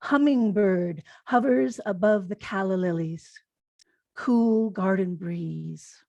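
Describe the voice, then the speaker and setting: A woman reads short poems at a slow, measured pace, leaving space between phrases. Her voice sits at a middle pitch, and the sound is close and dry. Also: vocal fry, a little mellow, no room sound, dull audio. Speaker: haiku poet. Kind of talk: poetry reading.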